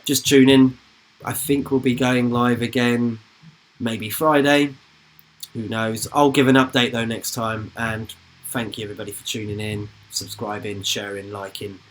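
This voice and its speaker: A man's voice: medium pitch, a bit bright. A young man, hosting a football channel's live stream in a rambling, informal chat that slips into casual swearing.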